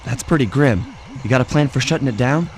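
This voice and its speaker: An actor playing a wisecracking, laid-back young raccoon master thief in a cartoon game, with a smooth, casual, wry delivery. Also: male voice, mid-range voice, a little fast, lightly acted. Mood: cocky. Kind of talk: scripted cartoon game dialogue.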